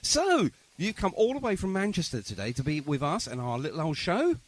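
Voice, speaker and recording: A man's voice: fairly high pitch, wildly swooping pitch, brisk. A man presenting a radio show in a chatty, jokey style with cheeky banter.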